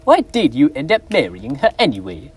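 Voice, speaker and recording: A young man acting as a miserly, bitter old man in a school stage play, gruff and contemptuous. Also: a little high, huge pitch swings, normal speed, a little mellow, a little hiss or hum. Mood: angry. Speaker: stage actor.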